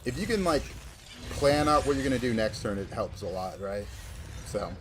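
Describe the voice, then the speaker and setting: A man's voice: mid-range voice, animated, moderate pace, noisy background. A young man from Georgia streaming a card game, chatting casually and thinking aloud with lots of fillers. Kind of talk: casual livestream commentary.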